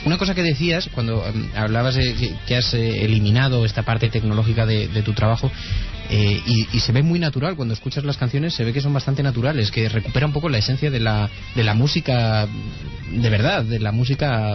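A man's voice: medium pitch, brisk. A man puts a long question to a guest, hesitant and full of fillers. The audio is muffled like radio. Faint music plays behind him. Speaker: interviewer. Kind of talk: radio interview.

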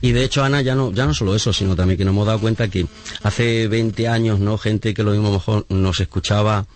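A man's voice: fairly low pitch, steady tone, quick pace, slight background noise. A middle-aged man from Madrid, a musician guest on a radio show, telling rambling stories full of tag questions. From Madrid, Spain. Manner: rambling storyteller, tag questions, acts out quoted dialogue. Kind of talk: casual radio interview chat. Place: radio studio.

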